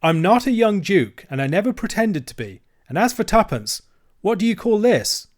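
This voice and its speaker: An earnest, well-mannered young boy who speaks politely and stands his ground, as a man puts him on. The voice is raised above the reader's own. It moves around in pitch. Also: big pitch swings, normal speed. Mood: indignant.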